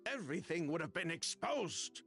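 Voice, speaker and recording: An actor playing a cynical, defensive merchant who argues and scoffs bitterly in video game dialogue. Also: male voice, a little high, sing-song, moderate pace, bright, forceful tone, soft background music, exaggerated voice. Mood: reluctant admission. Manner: argumentative, scoffing.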